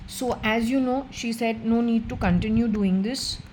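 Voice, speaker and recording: A woman's voice: a little high, moderate pace, a little mellow, a little hiss or hum, rough recording. A woman with an Indian accent, giving a solo spiritual reading and speaking earnestly to an unseen spirit. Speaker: tarot and occult reader.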